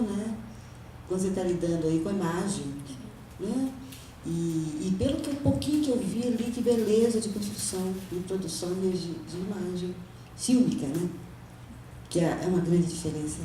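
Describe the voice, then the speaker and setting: A woman's voice: medium pitch, dark tone, slight background noise. A woman moderating a panel talk, asking long questions with hesitant repeats and frequent fillers. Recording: echoey room, distant mic.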